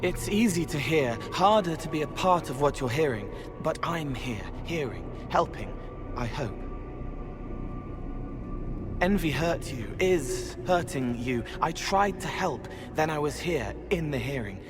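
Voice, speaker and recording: An actor playing a gentle, cryptic young spirit who wants to help, speaking in halting, poetic fragments in a video game. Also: male voice, a little high, lively intonation, over music. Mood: earnest, gentle. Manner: halting, earnest, poetic, rambling. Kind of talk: dramatic video game acting.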